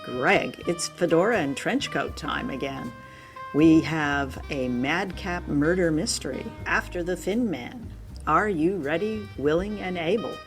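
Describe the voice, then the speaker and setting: A woman's voice: sits low, unhurried, soft background music. A woman reading a scripted introduction as host of a show. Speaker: podcast host.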